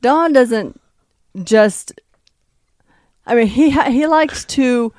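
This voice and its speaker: A woman co-hosting a casual chat, backing up her partner's stories and gently correcting his details. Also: on the high side, slow pace, no room sound. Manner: supportive sidekick, backs up and corrects his stories. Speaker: podcast co-host.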